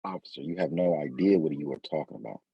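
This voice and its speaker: An actor playing a cocky, smooth-talking street hustler in an audio drama, husky and gravelly, with slangy, wry delivery. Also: male voice, a bass voice, fast talker, no echo, lo-fi sound, heavily acted. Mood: indignant.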